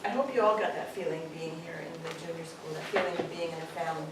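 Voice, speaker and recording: A middle-aged woman from Canada with a Canadian accent gives a joking graduation speech to pupils as a parent, often pausing with "um". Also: deep voice. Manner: warm, joking, with frequent ums. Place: school hall with pupils. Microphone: distant camera. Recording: echoey hall from far off, room noise.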